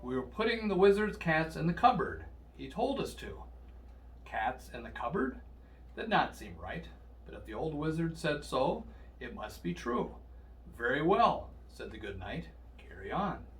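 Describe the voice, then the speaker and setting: Male storybook reader, animated, higher pitch, distant noisy recording. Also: unhurried. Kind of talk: read-aloud children's story narration. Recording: distant mic, faint noise.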